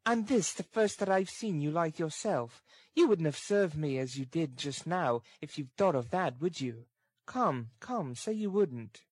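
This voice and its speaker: Man acting a meek, tender woman, high-pitched. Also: no room sound. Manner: soft, pleading, tender. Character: weak, worn young woman, tender and long-suffering.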